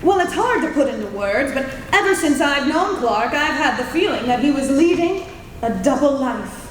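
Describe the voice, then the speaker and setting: A woman playing an inquisitive, sharp-tongued newspaper reporter in an old-time radio drama, insistent and theatrical. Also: high voice, expressive, moderate pace. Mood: intrigued. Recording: echoey hall, roomy, faint noise.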